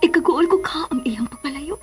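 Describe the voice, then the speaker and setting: A woman's voice: high-pitched, expressive, brisk. A woman playing a torn, wistful young woman questioning her feelings in a radio drama monologue, with a Cebuano Filipino accent. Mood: troubled, wistful. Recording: background music under voice. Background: music.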